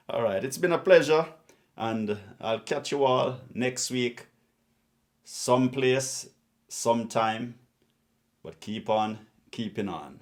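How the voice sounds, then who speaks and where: A man hosting a radio show, talking casually and encouragingly to his listeners in a drawn-out way. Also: mid-range voice. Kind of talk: casual radio sign-off talk.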